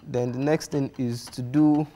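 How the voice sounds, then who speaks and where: A man's voice: medium pitch, fairly even intonation, a little slow, warm tone, big-room sound. A Nigerian man giving a cooking demonstration, explaining each step. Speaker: cooking guest.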